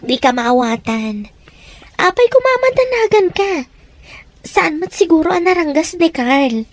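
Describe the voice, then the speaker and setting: A woman's voice: very high voice, sing-song, unhurried, no echo, exaggerated voice. A woman playing a devoted, dutiful young elder sister in an audio drama, tender and earnest. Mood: reassuring. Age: young adult. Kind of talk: Ilocano audio drama acting.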